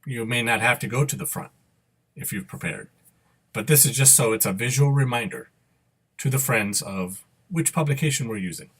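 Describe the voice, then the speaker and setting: A man with a slightly husky voice at a middle pitch talks through a screen demo. He explains each step as an instructor would, unscripted, and often checks that the listener is following. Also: a bit bright. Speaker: instructor giving a software demo.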